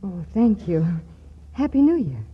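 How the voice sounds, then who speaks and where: A woman's voice: mid-range voice, sing-song, a little slow. An actress playing a sweet, bashful, easily startled young woman in a 1940s radio comedy, hesitant and prone to exclaiming. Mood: bashful, pleased. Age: young adult. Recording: noisy, muffled 1940s radio recording.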